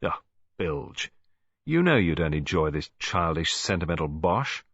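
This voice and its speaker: A man performs a scornful young Englishman in an audiobook. He is cocky, slangy and dismissive, with an animated, husky voice. The sound is close and dry, slightly dull. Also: deep voice, measured pace, no room sound, full character voice. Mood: dismissive, pushy.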